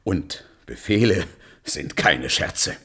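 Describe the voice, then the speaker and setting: An actor playing a reluctant, obedient royal huntsman, soft-hearted and fearful, halting and solemn in a radio play. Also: deep voice, animated, breathy edge, full character voice. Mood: grim, pleading. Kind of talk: German radio play, theatrical.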